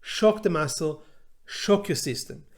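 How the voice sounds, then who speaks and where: A man in his forties with a fairly high voice talks informally as a language teacher, in English learned after his native Hebrew. He is animated and often stops on fillers and restarts his sentences. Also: unhurried. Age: 40s. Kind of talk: informal talk to camera.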